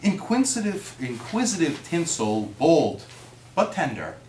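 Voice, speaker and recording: A man presenting to a live audience in an expressive, storybook reading style. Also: fairly high pitch, unhurried. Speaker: program presenter and poem reader. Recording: echoey room from far off, faint noise.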